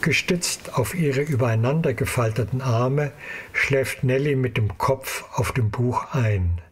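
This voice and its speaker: A man narrating an audio drama in a measured storytelling manner. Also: medium pitch, slightly rough.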